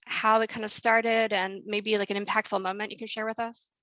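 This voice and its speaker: A woman hosting an interview with a bright, crisp tone. She talks in a friendly way, often pausing on fillers. The recording is dull and very dry, with no room echo. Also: medium pitch, flat delivery. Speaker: interview host. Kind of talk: interview introduction and questions.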